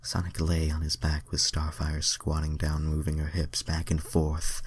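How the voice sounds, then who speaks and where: A man's voice: very deep voice, completely flat delivery, moderate pace, slightly rough. A man narrating fan fiction as a dramatic reading, breaking off into casual asides to his viewers.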